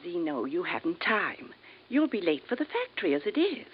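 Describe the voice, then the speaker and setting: A woman's voice: fairly low pitch, very animated, moderate pace, breathy edge. Fussing Martian mother, lilting delivery. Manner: worrying, motherly. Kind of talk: old-time radio comedy acting. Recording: dull, noisy old radio broadcast.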